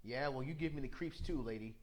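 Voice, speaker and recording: A man's voice: medium pitch, a little fast, slight background noise, natural voice, rough recording. A man voicing a cocky, wisecracking young raccoon thief from a video game, cool and drily sarcastic. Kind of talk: video game hero fan voice-over.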